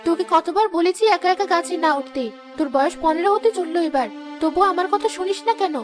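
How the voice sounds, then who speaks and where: A woman's voice: very high voice, fast, over music. A woman voicing an anxious, scolding village mother in a cartoon fairy tale. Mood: worried scolding. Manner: exasperated, nagging.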